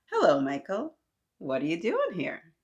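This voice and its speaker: A woman voicing a kind, gently firm female kindergarten teacher talking to a young child in a storybook. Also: fairly low pitch, wildly swooping pitch, measured pace, muffled recording. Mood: surprised, firm.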